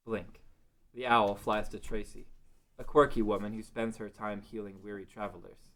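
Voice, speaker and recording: A man with a fairly low voice serves as narrator for a table read. He reads scripted fantasy narration in a dramatic storytelling style. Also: steady tone, moderate pace, a bit bright.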